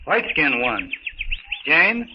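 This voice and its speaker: A man playing a young wild ape man who speaks halting, primitive single words in a muffled old radio serial. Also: on the high side, wildly swooping pitch, full character voice. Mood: halting, curious. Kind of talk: 1930s radio serial acting. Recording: muffled hissy 1930s radio recording.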